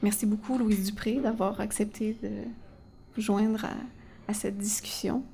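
A woman moderator politely thanking her guests, pausing with small fillers. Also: medium pitch, flat delivery, dark tone, a little hiss or hum. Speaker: event moderator. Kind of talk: closing thanks.

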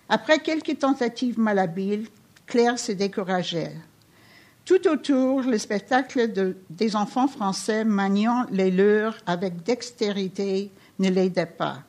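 Woman reading her novel aloud. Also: medium pitch. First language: not French. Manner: steady literary reading with small restarts. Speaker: author reading her work.

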